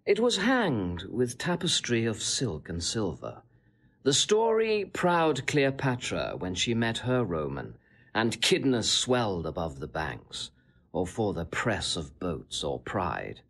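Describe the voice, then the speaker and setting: An actor playing a sly, boastful gentleman seducer in classical Shakespearean audio drama, insinuating and relishing every detail. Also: fairly low pitch, wildly swooping pitch, slow pace, full character voice. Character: sly, boastful Italian gentleman, smooth-tongued seducer taunting a husband.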